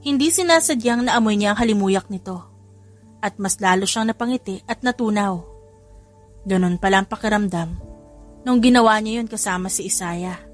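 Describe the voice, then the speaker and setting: A woman's voice: mid-range voice, moderate pace. Filipino woman narrating over music. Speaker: audiobook narrator. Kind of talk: scripted romance story narration. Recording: music behind the voice. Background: background music.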